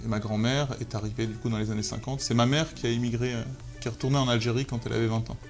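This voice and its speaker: A man in his thirties from Algeria, a photographer guest telling his story in a relaxed interview, hesitant with many fillers and restarts. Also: mid-range voice, very fast, soft background music. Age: 30s. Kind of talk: casual interview talk.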